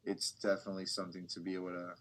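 A young man with a fairly low voice talks casually about his reporting work. His answers ramble, circling back with fillers and false starts, easy and unhurried. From United States. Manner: relaxed, rambling, many restarts and fillers. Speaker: bilingual TV reporter, guest. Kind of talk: casual interview talk.

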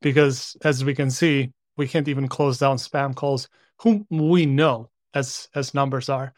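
A man's voice: medium pitch, fairly even intonation, a little slow, no echo, studio quality. A man speaking as a panel guest in a casual discussion, arguing his point in a matter-of-fact way.

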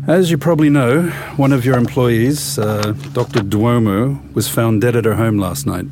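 An actor playing a polite but firm police inspector, speaking directly and formally in an audio drama. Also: male voice, medium pitch, normal speed, over music, close to an everyday voice. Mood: formal, probing. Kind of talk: full-cast audio drama acting.

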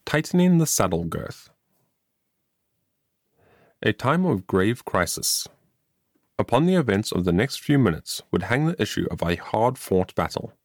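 A man narrates an old-fashioned moral tale in a fairly low voice. His reading is measured, formal and earnest. The recording is very dry and free of room sound. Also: very animated, no echo. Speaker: audiobook narrator.

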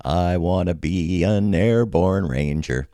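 An American man voicing an army drill sergeant and his troops in a drawn-out, rhythmic marching chant. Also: low voice, warm tone, no room sound, clean studio sound.

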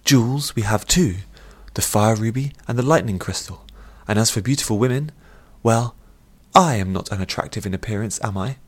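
Poised young princess, acted by a man, raised pitch, playful, courtly, persuasive, audiobook character. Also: moderate pace, few pauses, natural voice. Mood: musing, teasing. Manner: formal, courtly phrasing.

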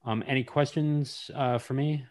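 A man's voice: mid-range voice, fairly even intonation, measured pace, darkish tone. A man hosting a podcast interview, speaking hesitantly with frequent fillers. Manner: hesitant, lots of uhs. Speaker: podcast host. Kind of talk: casual interview.

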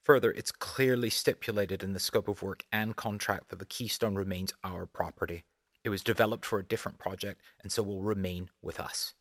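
Holographic executive played by a male narrator: deep, dry, clipped and coldly formal, seething behind a frozen smile. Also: fairly even intonation, brisk, a bit bright, no room sound. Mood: insistent, formal.

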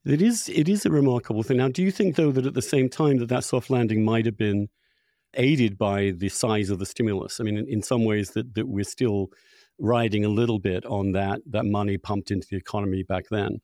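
A man's voice: lowish voice, brisk, warm tone, no room sound, clean studio sound. An older man hosting a conversational political discussion, emphatic and often correcting himself as he goes. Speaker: podcast host.

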